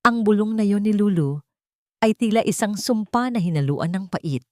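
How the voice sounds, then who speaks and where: A woman's voice: mid-range voice, animated, moderate pace, dry recording, studio quality. A woman narrating a scripted audiobook drama in a solemn, melodramatic storytelling manner.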